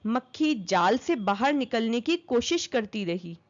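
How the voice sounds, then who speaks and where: A woman narrating a children's story as an expressive storyteller. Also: on the high side, a little slow, highs cut off.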